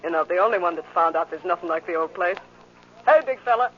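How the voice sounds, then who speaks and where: An actress playing a brassy, jealous barroom woman, loud, slangy and wisecracking, in a 1940s radio drama. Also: on the low side, quick pace, natural voice. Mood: brassy, teasing. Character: brassy, jealous, sharp-tongued woman who runs a bar. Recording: muffled old radio recording, faint noise.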